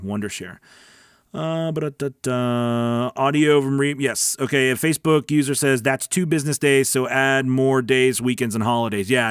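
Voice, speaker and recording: A man's voice: medium pitch, a bit bright, clean tone, no echo, studio quality. A man hosting a live coaching stream, answering viewer questions in a chatty, informal way and often checking that listeners follow. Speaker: host of a live weekly voice-over coaching stream. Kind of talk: casual livestream Q&A, reading and answering viewer comments.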